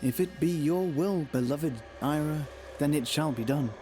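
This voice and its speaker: Guy playing reverent cleric, highish voice, formal and devoted, faint music. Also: normal speed, mellow, natural voice. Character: devout young male cleric, reverent and loyal. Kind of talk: visual-novel audio drama.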